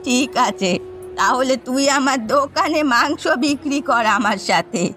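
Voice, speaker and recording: A woman's voice: high voice, expressive, measured pace, noisy background. A woman voicing a stern, scolding elderly grandmother shopkeeper in a fairy-tale cartoon. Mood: resigned. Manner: scolding, reproachful. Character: stern elderly grandmother who runs a meat shop.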